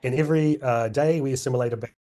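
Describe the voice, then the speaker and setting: A middle-aged man presenting at a scientific conference, hoarse and clearing his throat, with many fillers and restarts. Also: medium pitch, fast talker, dark tone.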